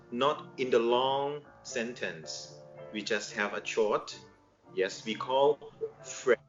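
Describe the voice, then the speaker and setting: A man's voice: medium pitch, a bit bright, lo-fi sound. A man from Thailand with a Thai accent, a native Thai speaker, answering informally as an air traffic controller, repeating phrases and checking with tag questions. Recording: music playing behind the voice.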